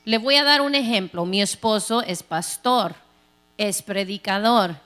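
Animated woman pastor preaching. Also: medium pitch, unhurried. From Texas, United States. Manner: animated, playful, acts out quoted voices. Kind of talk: sermon. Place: large church stage. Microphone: handheld microphone.

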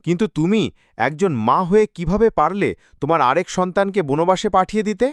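A man's voice: high-pitched, brisk, no room sound, clean studio sound, natural voice. A man voicing a grief-stricken middle-aged king in a mythological cartoon, speaking with dramatic anguish. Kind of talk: Bengali mythological cartoon voice acting.

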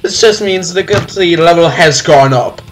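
A man's voice: a little high, moderate pace, soft background music. A man hosting a let's-play, reading visual novel text aloud with exaggerated, dramatic delivery.

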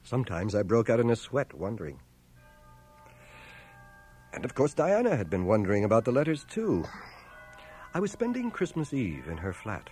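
Give this reserved young war veteran a mellow voice with lively rises and falls. He is earnest and self-doubting, picking his words with care in a radio drama taped on cassette, with faint music. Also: lowish voice, husky, heavily acted. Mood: wry, troubled. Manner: measured, hesitant, intellectual. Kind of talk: 1980 radio drama acting and first-person narration.

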